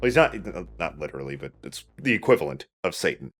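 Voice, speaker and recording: A man's voice: very deep voice, big pitch swings, fast talker. A man giving casual, informal Let's Play commentary while playing a video game.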